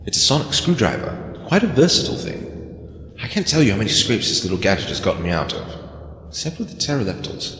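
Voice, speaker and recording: Man acting a wry, lively adventurer in echoey reverb. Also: lowish voice, fairly quick. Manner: wry, dryly joking. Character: Theet, protective, wry male adventurer with a gadget. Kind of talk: audio drama acting.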